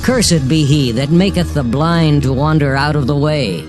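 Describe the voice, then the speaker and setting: A man's voice: a little high, normal speed, lightly acted. A man playing a stern ancient prophet, solemn and declamatory, in a dramatized Bible reading over music. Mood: stern, condemning. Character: stern ancient prophet proclaiming sacred law and curses.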